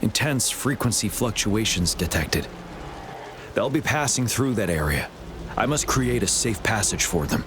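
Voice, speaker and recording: Game general: resolute, formal, commanding, low register with lively inflection, noisy ambience. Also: male voice, measured pace. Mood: focused command. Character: resolute male military general, grave and protective. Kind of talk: video game cutscene acting.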